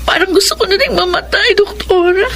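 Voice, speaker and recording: A woman's voice: extremely high pitch, brisk, creaky, bright, forceful tone, a little hiss or hum, heavily acted. An actress playing a grieving, fragile young woman seeking counsel in a Filipino radio drama.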